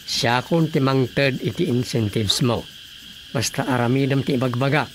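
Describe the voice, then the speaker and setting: A man's voice: medium pitch, normal speed, slight background noise, natural voice. A man acting a scheming, bossy village officer-in-charge in an Ilocano radio comedy drama, with an Ilocano Filipino accent. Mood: secretive, commanding.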